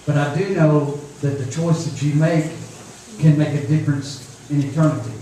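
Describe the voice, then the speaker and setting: A man's voice: a little high, steady tone, normal speed, warm tone. A man, preaching informally to young people in a folksy, repetitive way. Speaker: preacher at a youth camp. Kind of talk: informal sermon. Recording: echoey room, distant mic, faint noise.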